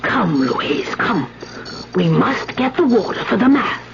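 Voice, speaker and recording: An actress playing a sinister, commanding older peasant woman in a 1970s radio drama, speaking in a hushed, deliberate, dramatic way. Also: medium pitch, sing-song, creaky, noisy background, heavily acted. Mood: ominous, insistent. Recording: dull 1970s radio, music underneath.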